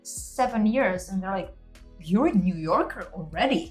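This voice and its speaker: A woman's voice: mid-range voice, normal speed. Woman, from Serbia, podcast host, casual chat, acts out quoted dialogue, faint music. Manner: animated storyteller who acts out quoted dialogue.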